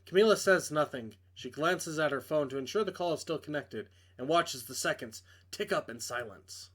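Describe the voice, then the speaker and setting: A man's voice: a little high, animated, moderate pace, fairly bright tone. A man narrating a visual novel aloud in a dramatic read-aloud style as he plays it. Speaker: video game player and narrator.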